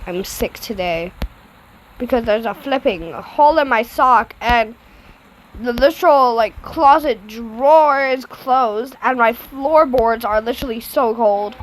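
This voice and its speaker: A woman's voice: fairly high pitch, measured pace, poor audio. A girl narrating an improvised toy story, chatty and rambling, sick today. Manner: rambling, self-correcting, chatty. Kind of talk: casual improvised play-acting.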